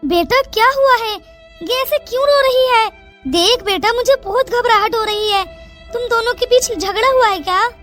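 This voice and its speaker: Casting: woman as caring cartoon village mother, high voice, fretful and coaxing, music underneath. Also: moderate pace. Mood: anxious. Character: anxious, caring village mother comforting her grown daughter. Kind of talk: Hindi cartoon moral story dialogue. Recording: music behind the voice.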